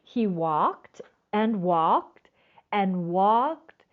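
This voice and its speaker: Expressive, animated woman reading a storybook. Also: mid-range voice, very slow, narrow, tinny audio. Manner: expressive, stretches words for emphasis. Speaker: storytime reader. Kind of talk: children's storybook read-aloud with asides to listeners.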